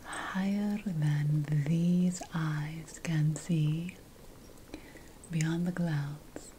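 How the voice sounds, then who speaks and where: A woman voicing a sly, conspiratorial potion-making witch in an ASMR fantasy roleplay, whispering slowly and deliberately with dramatic pauses. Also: deep voice, steady tone, dark tone, a little hiss or hum. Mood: sly, secretive.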